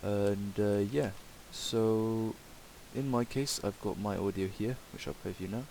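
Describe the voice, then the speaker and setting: A man giving a casual, improvised software tutorial in a hesitant, rambling way. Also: lowish voice, measured pace, vocal fry, poor audio. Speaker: tutorial presenter. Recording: hissy home microphone recording.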